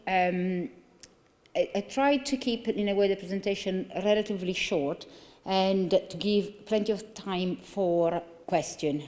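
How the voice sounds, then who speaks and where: A woman's voice: medium pitch, a little mellow. A woman in her forties with a strong Italian accent, a neurologist giving an earnest conference talk at a lectern with many fillers and restarts. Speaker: consultant neurologist. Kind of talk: conference lecture. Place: large conference hall. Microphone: lectern microphone. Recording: long reverb of a large hall.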